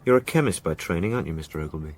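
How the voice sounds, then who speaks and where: A blunt police sergeant in a radio drama. His voice sits fairly low, and he puts questions steadily and plainly, probing as he goes. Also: lively intonation, very fast. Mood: firm. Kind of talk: British radio drama acting.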